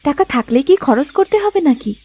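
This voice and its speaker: Sharp-tongued cartoon village wife, high and swooping, as a woman voices her. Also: expressive, a little fast. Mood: suspicious. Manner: suspicious, scolding questions. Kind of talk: Bengali cartoon voice acting.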